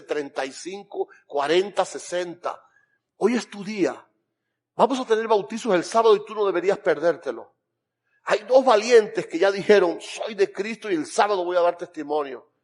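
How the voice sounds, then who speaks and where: Man, Cuban accent, pastor, high voice, fervent, pleading, rhythmic preaching. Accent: Cuban Spanish. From Cuba. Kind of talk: sermon altar call and prayer.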